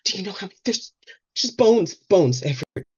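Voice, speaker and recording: A woman's voice: medium pitch, big pitch swings, brisk, a little mellow, husky. A woman playing a strung-out, paranoid twenty-something in a table read, rambling and repeating herself insistently. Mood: manic, insistent. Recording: slightly dull, muffled recording.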